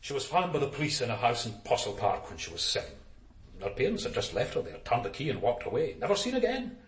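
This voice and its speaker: A man's voice: mid-range voice, very animated, quick pace, echoey room, lightly acted. An actor playing a middle-aged, stiff and evasive adoptive father in a naturalistic radio drama. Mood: disapproving. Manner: prim, evasive, self-justifying.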